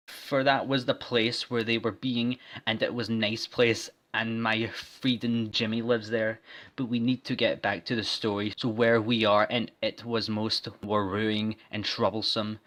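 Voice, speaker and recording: A man reading a story as a deliberately bad parody narration, garbling and mispronouncing words on purpose. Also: on the low side, level tone, fairly bright tone. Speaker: fan fiction reader.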